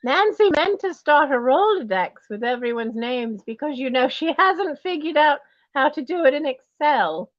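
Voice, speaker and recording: A woman's voice: high-pitched, lively intonation, normal speed, dry recording, lo-fi sound. A woman doing a snooty posh British lady. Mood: haughty. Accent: put-on posh British. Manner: condescending, calls everyone darling and commoners. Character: snobbish posh lady in wig and diamonds. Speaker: auction host. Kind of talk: in-character livestream auction.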